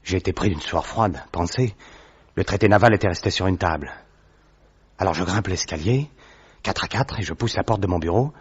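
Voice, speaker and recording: A man voicing a worried young male government clerk in a radio drama, recounting events in a measured, hesitant way. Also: low voice, breathy edge. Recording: dull muffled audio recording.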